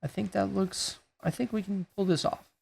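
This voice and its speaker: A man with a raspy voice that is going hoarse, thinking aloud in a laid-back way while he builds in a game. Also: high-pitched, steady tone, normal speed, a little mellow. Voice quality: gravelly, raspy. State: losing his voice. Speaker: gaming YouTuber. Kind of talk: casual gameplay commentary.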